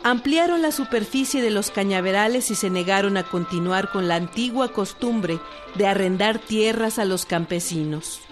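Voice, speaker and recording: A female narrator with a Mexican accent reads a scripted history. Her voice is mid-pitched, set over clearly audible music. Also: moderate pace, few pauses.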